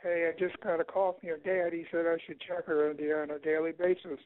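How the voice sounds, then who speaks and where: A man's voice: fairly high pitch, flat delivery, brisk, slight background noise. An older man leaving casual, sardonic voicemail messages, wisecracking and grumbling over a telephone. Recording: tinny phone-line voicemail recording.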